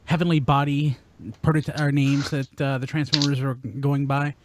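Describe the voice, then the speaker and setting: A man hosting a casual panel podcast, reading out news items in a halting way and trailing off mid-sentence. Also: a little high, level tone, background noise.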